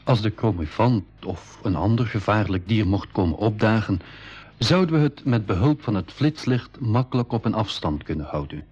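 A dull, muffled old radio recording carries an adventurer telling his tale to youngsters. His male voice is low and husky. He phrases it in a measured storytelling manner. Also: lively intonation, a little mellow. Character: adventurer recounting his expedition to young listeners. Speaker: narrator. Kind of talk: scripted narration for a school radio adventure serial.

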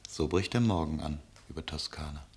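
Low-voiced, poetic traveller telling tales. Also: male voice, a little fast, a little mellow. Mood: dreamy, hushed. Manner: lyrical, solemn storytelling. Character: male traveller, tender poetic storyteller. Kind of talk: literary radio play monologue.

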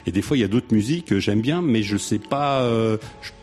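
A man's voice: on the low side, expressive, a little fast, a little mellow, faint music behind. A man in his fifties hosting a casual radio chat, rambling and hesitant, joking with his guest. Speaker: radio host.

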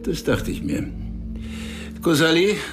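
A man's voice: a little high, very animated, slow, gravelly, over music, heavily acted. An actor playing a cold, calculating male police intelligence chief who questions people in a cool, probing manner in a radio drama.

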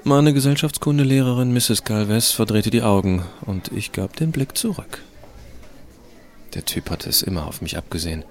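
A man's voice: low-pitched, lightly acted. A man playing a cocky, irreverent teenage schoolboy who narrates his own story in a sardonic, colloquial way in an audio drama. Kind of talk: first-person scripted narration, fan audio drama.

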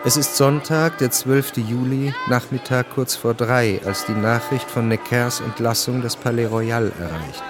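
A man's voice: medium pitch, a little slow, hardly any pauses, over music. A man reading scripted literary narration as the narrator of a radio drama.

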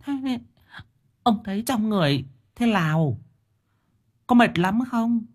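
A fussy, chattering old village wife who pushes her voice way up high. Her whining swoops all over the place, and a husky breathiness runs through it. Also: lively intonation, slow, exaggerated voice. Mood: fretful. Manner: wailing, rambling, high-pitched whine.

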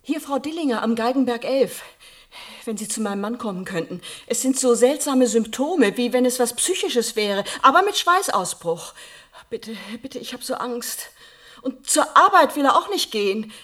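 Worried wife and mother, radio play, breathy husky voice, slightly high, fretful, pleading. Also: lively intonation, normal speed, a bit bright. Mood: frightened. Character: anxious, fussing housewife, worried wife and mother. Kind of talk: German radio play acting.

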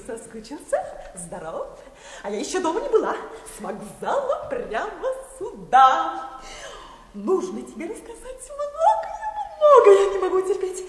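An actress playing a flighty, chattering young wife in a theatrical radio play, breezy and gushing. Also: female voice, very high voice, huge pitch swings, unhurried, full character voice. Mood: breezy, excited. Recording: echoey hall, long reverb.

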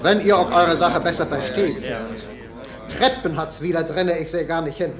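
An actor in a German radio play playing a haughty factory cloth inspector who scolds and scoffs at weavers with bullying contempt. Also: male voice, a little high, moderate pace, close to an everyday voice. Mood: scornful. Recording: echoey room with crowd behind, vintage radio drama.

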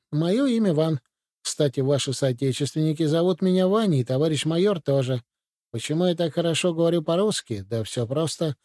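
A man's voice: on the high side, a little mellow, dry recording, studio quality, natural voice. A man voicing a young Vietnamese officer in an audiobook, speaking politely and explaining himself earnestly. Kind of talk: audiobook reading.

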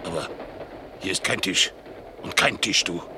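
An actor playing a naive, good-natured pet dog in a German radio play, excitable and full of wide-eyed wonder. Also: male voice, sits low, a little slow, background noise. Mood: excited.

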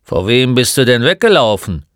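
A gruff old storybook shopkeeper, performed by a man, who fires off blunt, mocking questions. The voice is forceful and swings upward from a grumble, recorded very dry. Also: mid-range voice, very animated, moderate pace, no room sound, voice pushed up. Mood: probing, brusque. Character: gruff, mocking old male bookshop keeper. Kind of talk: storybook character voice.

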